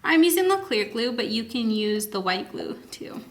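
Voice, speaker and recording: A woman's voice: fairly high pitch, very animated, normal speed. A woman hosting a children's story time, reading aloud and talking directly to young listeners with questions and prompts. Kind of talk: animated read-aloud and chat to young children.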